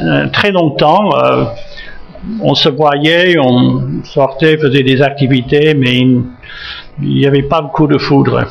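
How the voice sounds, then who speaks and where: An older American man with a gravelly, slightly raised voice gives a halting, joking talk in church, full of pauses and restarts. He is a retired missionary pastor with an English-speaking American accent. The recording sounds dull and lo-fi. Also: on the high side. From United States, Massachusetts. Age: 70s. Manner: halting, frequent fillers and restarts, gently joking. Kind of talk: church talk introducing himself.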